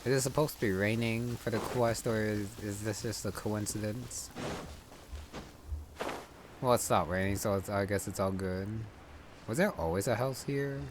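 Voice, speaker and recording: A man casually commenting while playing a game, thinking out loud as he goes. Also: lowish voice, normal speed, pauses often, noisy background. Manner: musing, thinks aloud. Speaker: gaming youtuber. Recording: voice over game music.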